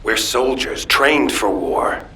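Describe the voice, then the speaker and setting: A man's voice: medium pitch, expressive, slow, slight background noise. A man playing a hot-headed, blunt soldier in a sci-fi game. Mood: incredulous. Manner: forceful, blunt.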